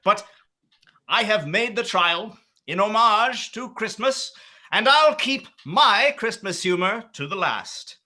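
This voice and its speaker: An actor in a radio play playing a cheerful, warm-hearted nephew with an earnest, declamatory delivery. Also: male voice, high-pitched, lively intonation, takes it slowly, heavily acted. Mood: pleading, sincere. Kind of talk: theatrical radio play acting.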